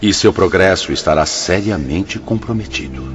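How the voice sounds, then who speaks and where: An actor with a Brazilian accent playing a grave, wise spirit mentor in a radio drama, measured and oratorical. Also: male voice, sits low, muffled recording, music in the background, close to an everyday voice.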